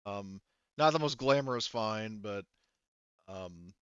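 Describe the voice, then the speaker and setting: A man's voice: fairly low pitch, lively intonation, slow, a bit bright, clear, resonant tone, no room sound. A man acting as game master, casually refereeing a tabletop game and thinking aloud in a hesitant way. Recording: band-limited, dull dry audio.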